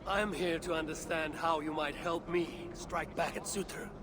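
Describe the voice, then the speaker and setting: An actor playing a terse, imperious Norse god in a video game, solemn and vengeful. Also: male voice, fairly high pitch, fairly even intonation, moderate pace, background noise, close to an everyday voice. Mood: measured, impatient. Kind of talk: cinematic video game acting.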